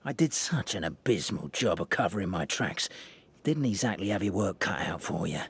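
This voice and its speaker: Hammy, animated cornered minion. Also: male voice, a little high, normal speed. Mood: resigned, mischievous. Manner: hammy, stammering, mock-storybook narration. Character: cornered, cheeky, theatrical male minion narrating his own escape. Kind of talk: video game voice acting. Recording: echoey hall reverb, music behind.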